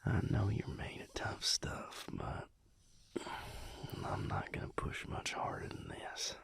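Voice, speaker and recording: A man playing a tender, teasing vampire lover in an intimate audio roleplay, murmuring breathily and soothingly with drawn-out pauses, close to the microphone. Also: fairly bright tone. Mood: teasing, gentle. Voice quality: breathy, gravelly whispered murmur.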